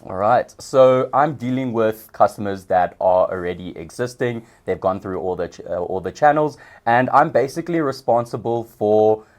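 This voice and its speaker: A young South African man, a podcast guest, explaining his work in a relaxed way with some hesitations. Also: lowish voice, moderate pace, hardly any pauses. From Johannesburg, South Africa. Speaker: guest, customer success manager. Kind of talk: casual interview.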